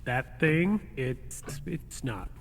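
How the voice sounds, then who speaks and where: A man's voice: mid-range voice, big pitch swings, drawn-out words, vocal fry, noisy background, exaggerated voice. A man playing a shifty, blustering husband in a comic radio play, sometimes stammering his way through. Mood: evasive, dismissive. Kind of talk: amateur radio comedy drama acting. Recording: long hall-like reverb.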